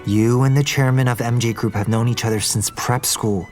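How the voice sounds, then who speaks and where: A man's voice: mid-range voice, fairly even intonation, normal speed, darkish tone, faint music behind, natural voice. An actor playing an idealistic young male business heir in an audio drama, earnest and conversational. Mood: wry. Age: young adult.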